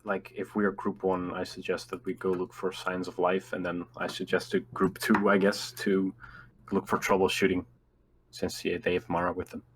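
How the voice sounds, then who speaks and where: A man's voice: low voice, flat delivery, moderate pace, husky, rough recording. A man chatting casually as a player in a tabletop game.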